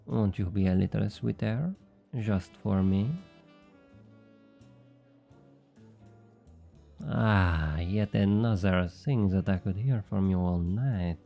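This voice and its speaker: A man playing a low, dark-voiced flirty French thief. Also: vocal fry, muffled recording, soft background music. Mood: flirtatious taunting. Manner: teasing, smug, drawn-out coaxing. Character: obnoxiously flirty, smug male thief and information broker. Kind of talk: intimate ASMR roleplay acting.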